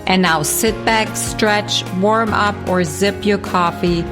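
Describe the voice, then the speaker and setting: A woman, a podcast host and coach, reading a scripted introduction in a smooth, read-out manner. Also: mid-range voice, steady tone, takes it slowly. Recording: voice over music.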